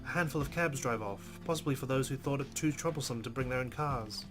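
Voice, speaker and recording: A male gaming host narrates a visual novel as he plays. His voice sits at a middle pitch, and game music plays clearly behind him. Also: brisk. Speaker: let's play host. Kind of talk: reading visual novel narration aloud with asides.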